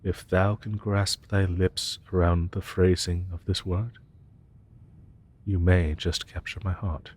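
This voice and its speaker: Man playing a slow, very deep haughty dragon professor. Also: level tone, a little mellow, a little hiss or hum. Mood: haughty, affectionate. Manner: archaic, pompous, ornate formal phrasing. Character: haughty male dragon professor, formal, grandiose, secretly tender. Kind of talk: scripted romantic fantasy audio roleplay.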